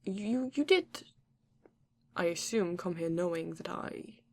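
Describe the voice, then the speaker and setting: Breathy, gravelly, flirty vampire acted by a woman. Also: mid-range voice, lively intonation, a little mellow. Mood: curious, questioning. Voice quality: breathy, often dropping to a whisper. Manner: slow, genteel, teasing, old-fashioned wording. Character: flirty, courteous vampire living alone in a castle. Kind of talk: ASMR romance roleplay.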